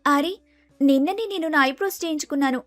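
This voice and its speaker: A woman's voice: sits high, fast talker, a bit bright, no echo, soft background music. A woman voicing a sensible, sceptical young bride-to-be in storybook dialogue, reasoning and lecturing. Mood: matter-of-fact.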